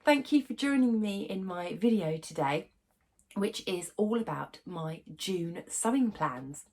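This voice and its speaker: A woman's voice: mid-range voice, expressive, a little slow, darkish tone. A young woman hosting her sewing channel, chatting to camera with a stuffy nose from a cold, full of ums and little laughs. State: congested from a cold. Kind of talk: casual chat to camera.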